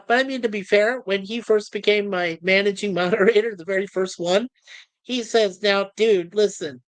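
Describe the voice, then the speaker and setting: A man's voice: high voice, fairly bright tone, clean tone, no echo. A man in his late fifties hosting a casual podcast, joking and teasing and often laughing. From Texas. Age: 50s. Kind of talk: casual chat.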